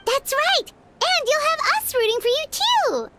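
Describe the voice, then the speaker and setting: A woman voicing a tiny, excitable, childlike fairy companion in a video game, with a chirpy manner. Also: very high voice, measured pace, music in the background, exaggerated voice. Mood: encouraging. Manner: chirpy, speaks of herself in third person.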